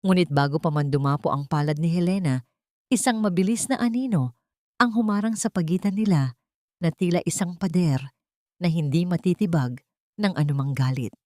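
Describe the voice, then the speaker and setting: A woman reading a dramatic scripted story in a solemn, deliberate manner. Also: lowish voice, darkish tone, no room sound, studio quality.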